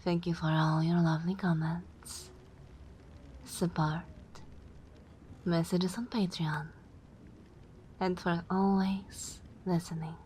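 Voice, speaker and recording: A woman hosting an ASMR channel, speaking softly and gently in a breathy half-whisper. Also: lowish voice, level tone, slow, pauses often, a little hiss or hum. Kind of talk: soft-spoken ASMR outro.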